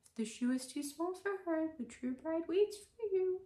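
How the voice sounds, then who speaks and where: A woman's voice: very high voice, expressive, normal speed, mellow, pitched above the performer's own voice. A woman voicing small storybook pigeons that cry out a singsong warning rhyme. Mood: shrill warning. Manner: singsong, chanting. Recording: echoey room.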